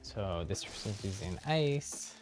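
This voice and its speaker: A man's voice: on the low side, lively intonation, slow. A man streaming a game, giving casual, easygoing explanatory commentary to viewers. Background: game music.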